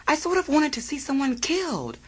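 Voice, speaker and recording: A woman's voice: high-pitched, wildly swooping pitch, a little fast. A woman playing a blithe, polite but cold-blooded, fame-hungry wife being interviewed in satirical radio theater. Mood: callous, matter-of-fact.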